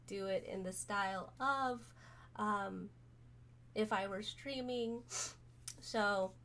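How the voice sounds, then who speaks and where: A woman streamer chatting casually to camera, hoarse from being sick, rambling with many pauses and fillers. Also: medium pitch, slight background noise. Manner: rambling, many ums, soft-spoken. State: hoarse, recovering from illness.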